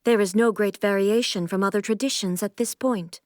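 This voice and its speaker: An actress voicing a solemn, aloof immortal shogun and swordswoman in a video game, with formal, measured, stately delivery. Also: medium pitch, steady tone, a little mellow, no echo, clean studio sound, natural voice. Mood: proud, instructive. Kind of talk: video game character voice lines.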